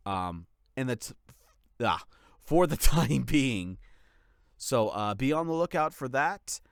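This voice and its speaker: Male content creator, mid-pitched and very clean-sounding, casual update read, effusively thankful and unscripted in feel. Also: smooth, no echo, studio quality. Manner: gushing, grateful, informal. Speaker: channel creator and voice actor.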